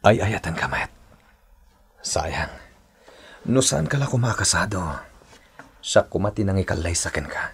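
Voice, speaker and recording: A man playing an amorous, insistent secret lover in a Filipino radio drama, with a husky, gravelly voice and an Ilocano accent. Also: sits low, lively intonation, normal speed, long pauses, full character voice. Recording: music bed behind voice.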